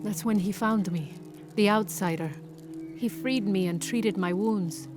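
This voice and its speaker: A woman's voice: medium pitch, unhurried, natural voice. A woman playing a wistful outcast who longs for a lost love, telling her story solemnly in a video game. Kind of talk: video game cutscene dialogue. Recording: music behind.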